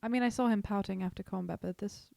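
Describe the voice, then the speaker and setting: A woman with a medium-pitched voice talks casually as a player in a tabletop game. The sound is dry and close, with a loose, conversational delivery. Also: quick pace, vocal fry, dark tone, no echo.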